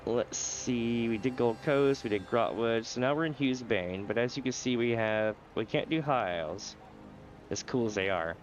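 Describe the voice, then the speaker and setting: A man's voice: mid-range voice, moderate pace, soft background music. A man with a sore throat and a nasal voice, casually explaining a game to viewers during a live stream. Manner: casual, explanatory, chuckles often. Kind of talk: live gameplay commentary.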